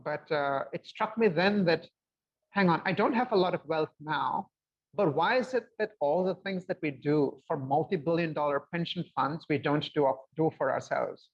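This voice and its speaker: A man in his fifties, a guest on a video call, speaking reflectively and with lively gestures. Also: a little high, moderate pace. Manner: reflective, gestures a lot while talking. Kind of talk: casual video-call conversation. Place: home room with bookshelves. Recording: slightly dull lo-fi call audio.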